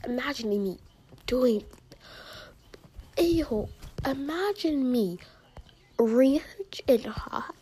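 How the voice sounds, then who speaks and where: Slow, mellow-voiced teenage girl vlogger. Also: expressive, slightly rough, low-quality recording. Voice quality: high-pitched voice. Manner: rambling, drops into soft near-whispers for asides. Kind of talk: casual chat, reading out questions and answering them.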